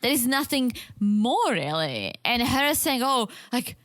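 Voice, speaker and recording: Animated higher-voiced woman podcast co-host. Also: a little slow, no echo, clean studio sound. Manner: animated, acts out quotes from the show, frequent restarts. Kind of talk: casual chat reviewing a reality show.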